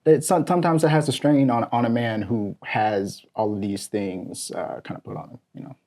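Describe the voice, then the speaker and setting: A man speaking as a guest in a discussion, measured and deliberate, with pauses between thoughts. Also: mid-range voice, steady tone, a little mellow. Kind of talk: casual discussion.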